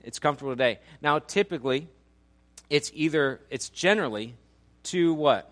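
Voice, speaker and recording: High-voiced man in his forties preaching. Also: moderate pace, fairly bright tone. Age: 40s. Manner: conversational, joking, quizzes the congregation. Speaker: pastor. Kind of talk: sermon. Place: church auditorium.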